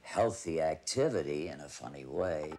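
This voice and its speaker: A man reminisces casually in an interview. His voice is very low, nearly buried in loud background noise. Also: lively intonation, moderate pace.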